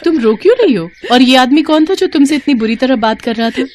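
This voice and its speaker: A woman's voice: high-pitched, expressive, fairly quick, darkish tone, a little hiss or hum, voice pushed up. A woman voicing a grieving, vengeful female snake shapeshifter in a storybook tale, dramatic and emotional. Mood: urgent questioning.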